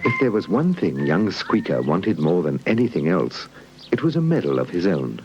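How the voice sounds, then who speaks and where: A man's voice: deep voice, big pitch swings, normal speed. A man with a British accent narrating a scripted children's story. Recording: muffled old VHS tape, music underneath.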